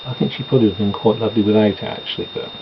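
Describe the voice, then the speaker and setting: A man's voice: on the low side, very fast, vocal fry. A man chatting casually and meanderingly at home while doing his makeup, with self-deprecating asides. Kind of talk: rambling casual chat. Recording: dull lo-fi home recording with noise.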